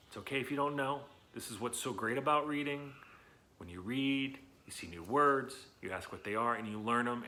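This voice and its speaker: A man hosting a read-aloud lesson for children, talking in an animated, chatty, encouraging way with emphatic words and questions to his listeners. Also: mid-range voice, a little hiss or hum. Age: adult, not young.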